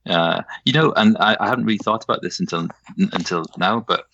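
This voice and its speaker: A man with a Welsh accent softened toward English, talking casually as a novelist guest, hesitant with many fillers and restarts. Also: low voice, fairly quick, creaky, dull audio, a little hiss or hum. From Wales. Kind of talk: casual conversation.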